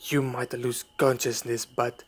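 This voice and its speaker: A man playing a suave, sinister vampire in an ASMR roleplay, speaking formally and courteously with quiet menace. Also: medium pitch, little pitch movement, unhurried, husky. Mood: coolly menacing. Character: suave, sinister male vampire luring a traveler. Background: night forest ambience.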